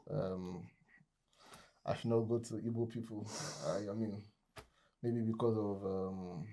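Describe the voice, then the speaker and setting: Young nigerian guy on a podcast, lowish mellow voice, measured, lots of "i mean". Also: steady tone, creaky, slight background noise. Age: young adult. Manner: measured, says "I mean" often. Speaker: guest. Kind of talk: casual interview chat.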